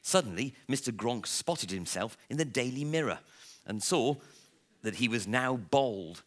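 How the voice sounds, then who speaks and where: A man reading a comic children's story aloud to listeners in a theatrical, playful way, with dramatic pauses. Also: medium pitch, animated, a bit bright. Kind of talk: expressive live storybook reading.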